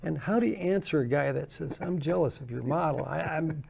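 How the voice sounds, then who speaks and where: Older guy, kinda high but gravelly voice, rambling stories and chuckling. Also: brisk, a little mellow. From American. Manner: chatty anecdotal storyteller, laughs often. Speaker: guest model builder. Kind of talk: casual interview storytelling.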